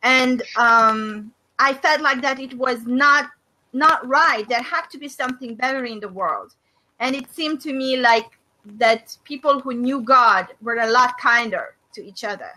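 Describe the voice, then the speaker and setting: A middle-aged woman from Hungary with a Hungarian accent, hosting a faith and business video call and speaking thoughtfully with many pauses and fillers. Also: on the high side, bright, forceful tone, rough recording. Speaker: host of a faith and business discussion. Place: small room indoors. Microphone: webcam on a video call.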